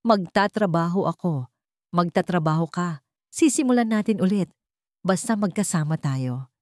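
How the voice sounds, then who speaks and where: A woman's voice: lowish voice, lively intonation, moderate pace, a little mellow, no echo, studio quality, close to an everyday voice. A woman reading a proud but tender billionaire heroine. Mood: heartfelt. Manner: heartfelt, firm.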